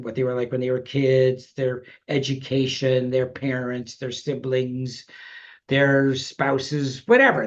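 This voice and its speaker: Male guest, middle pitch, reflective and rambling, talking over a muffled, lo-fi line. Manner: reflective, rambling, many you-knows and restarts. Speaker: podcast guest. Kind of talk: casual interview chat.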